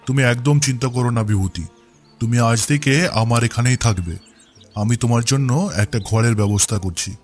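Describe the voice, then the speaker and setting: A village landlord, played by a man in a moral cartoon. He speaks at a medium pitch in an expressive, paternal and righteous way, over background music. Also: normal speed, natural voice. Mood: concerned. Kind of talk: cartoon voice acting.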